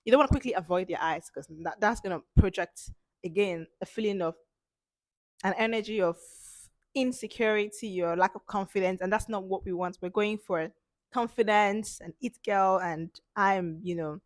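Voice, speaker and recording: A woman hosting an informal instructional talk as a confidence coach, speaking emphatically with repeated points and a slightly hoarse voice from a cold. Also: mid-range voice, normal speed, dry recording.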